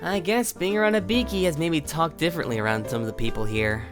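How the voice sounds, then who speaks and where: A woman's voice: low-pitched, fast, music in the background, natural voice. A woman playing a laid-back, friendly young streamer with a casual, slangy delivery in a fan audio drama. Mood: warm, upbeat.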